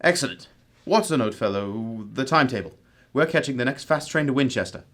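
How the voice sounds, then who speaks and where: A man's voice: mid-range voice, animated, a little fast, fairly bright tone. A man playing a cool, exacting detective in an amateur radio drama, speaking in a formal, probing manner. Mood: probing, stern.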